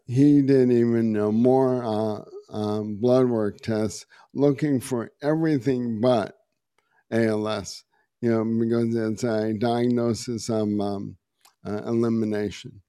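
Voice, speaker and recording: Middle-aged man, hoarse and raspy, low mellow tone, halting delivery, even and flat. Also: dry recording, clean studio sound. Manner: slow and halting, many uh and um. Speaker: podcast guest, meditation teacher. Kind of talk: personal story in conversation.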